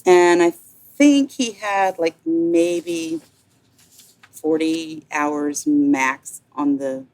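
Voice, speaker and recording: Lower-pitched woman chatting casually. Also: drawn-out words, darkish tone. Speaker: guest, yacht sales representative. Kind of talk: casual chat, telling an anecdote.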